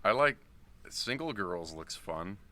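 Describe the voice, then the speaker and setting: A man's voice: deep voice, animated, takes it slowly, crowd noise. A man co-hosting a podcast, asking questions in casual chat.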